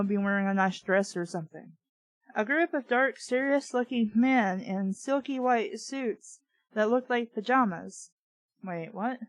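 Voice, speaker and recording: A woman's voice: on the high side, dry recording. A woman reading a story aloud and breaking into casual, sarcastic, exasperated commentary. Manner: sarcastic, exasperated asides with swearing. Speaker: book reader and commentator. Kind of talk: audiobook-style reading with casual asides.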